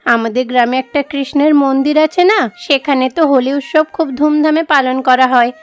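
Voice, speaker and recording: Woman voicing a high-pitched, earnest village girl. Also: normal speed, close to an everyday voice. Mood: hopeful, timid. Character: sheltered, devout young village daughter, meek and pleading. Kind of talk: animated moral story dialogue.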